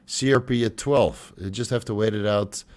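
Brief: young male host, lowish voice going hoarse and stuffy, loose and jokey, swears a lot. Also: normal speed, darkish tone. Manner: loose, joking, swears freely. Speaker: host answering viewer questions. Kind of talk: casual livestream Q&A.

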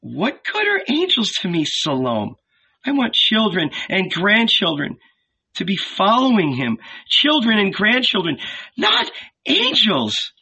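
Inconsolable storybook mother acted by a man, raised husky voice, pleading and insistent. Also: high-pitched, wildly swooping pitch, unhurried, pushed and bright, muffled recording, voice pushed up, exaggerated voice. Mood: despairing. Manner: plaintive, repeating herself for emphasis. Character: grieving mother, plaintive, stubborn, inconsolable.

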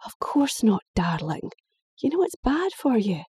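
A woman voicing an anxious, gentle middle-aged mother, soft and pleading. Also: on the high side, sing-song, measured pace, breathy edge, dry recording, studio quality, pitched above the performer's own voice, full character voice.

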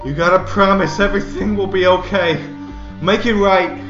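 An actor playing a desperate, panicky younger armed robber in an audio drama, agitated and barking orders. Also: male voice, high-pitched, fairly even intonation, moderate pace, close to an everyday voice. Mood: panicked. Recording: roomy muffled sound under music.

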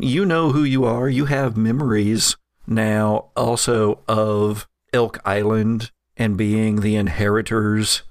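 Gravelly-voiced man narrating a horror game. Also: on the low side. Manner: measured storytelling, hesitant when addressing players. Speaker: game master.

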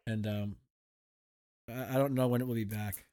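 A hoarse man co-hosting a podcast who is losing his voice, talking in a wry, deadpan way. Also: lowish voice, normal speed, no echo. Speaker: podcast co-host. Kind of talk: casual review chat.

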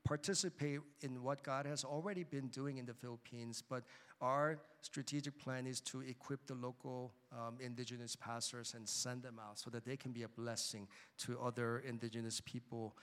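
A man presents a mission vision in a measured, hesitant way, often pausing on fillers. His voice is smooth and resonant at a middle pitch. It rings out with a long reverb in a large church hall. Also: fairly even intonation, fairly bright tone. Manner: measured, frequent ums and uhs, hesitant.

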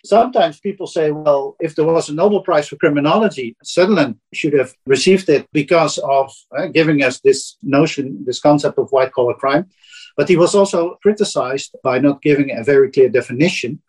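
Dutch-accented prof guy, kinda high husky voice, says "eh?" a lot. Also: moderate pace, darkish tone, poor audio. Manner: thoughtful, with tag "eh?" and restarts. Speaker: guest professor of criminology. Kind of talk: explaining in an interview.

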